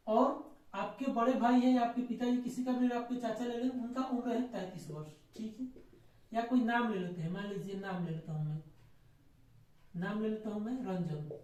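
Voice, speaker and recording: A man's voice: extremely high pitch, a little mellow. A man teaching maths step by step in an informal classroom style, repeating himself and answering his own questions. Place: echoey indoor room. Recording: very echoey room, distant mic.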